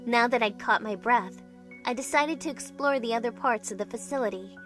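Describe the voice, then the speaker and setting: A woman acts out an anxious yet curious young heroine narrating a visual novel. Her voice sits on the high side and moves in measured, introspective phrases. Music plays prominently behind her scripted first-person account. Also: natural voice. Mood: relieved, reflective. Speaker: narrating character in a fan dub of a visual novel.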